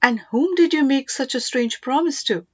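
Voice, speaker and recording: A woman's voice: high-pitched, moderate pace, dull audio, voice pushed up, lightly acted. A woman voicing an earnest young king in a storybook, with an emphatic, questioning manner. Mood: bewildered, protesting.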